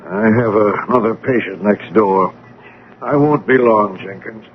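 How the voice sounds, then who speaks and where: An actor playing a seasoned, world-weary male doctor in a radio drama, with a brisk, sardonic manner. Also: lowish voice, lightly acted. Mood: resigned. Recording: muffled old radio broadcast, faint noise.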